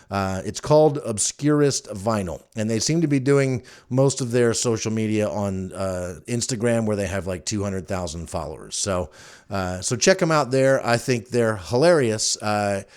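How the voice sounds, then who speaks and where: A male podcast host talks casually and alone, in a medium-pitched voice. He rambles along in a frank, joking manner, as if thinking out loud to his listeners. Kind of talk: casual solo podcast talk.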